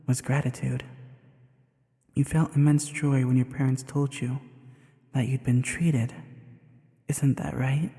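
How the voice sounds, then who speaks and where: Guy doing an evil sorcerer, possessive but tender, really dark velvety voice, pretty even pitch, audio roleplay. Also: natural voice. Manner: slow-burning, soothing, possessive, addressing listener intimately. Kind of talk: intimate scripted audio roleplay. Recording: long hollow reverb.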